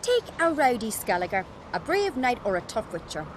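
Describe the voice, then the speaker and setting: An actress voicing a bold, confident young noblewoman who talks briskly and teasingly. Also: fairly high pitch, sing-song, slight background noise. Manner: brisk, teasing and sure of herself.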